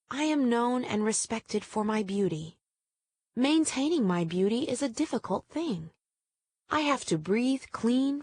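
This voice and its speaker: A vain, graceful lady willow played by a woman from a children's storybook. She is sugary and genteel, apologising as her pitch rises and dips, in a dry, close sound. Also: darkish tone, husky, dry recording, exaggerated voice.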